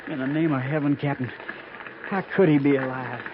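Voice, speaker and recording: A man's voice: medium pitch, steady tone, fairly quick, lightly acted. An actor playing a horrified, queasy cavalry trooper in a 1950s radio western, plaintive and pleading with his officer. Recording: muffled, noisy 1950s radio transcription.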